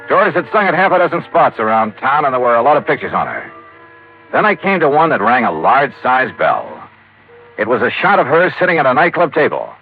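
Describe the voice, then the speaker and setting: An American actor playing a tough, wry insurance investigator who narrates his case in clipped, dry 1950s radio drama style. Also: mid-range voice. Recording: tinny old radio recording with music.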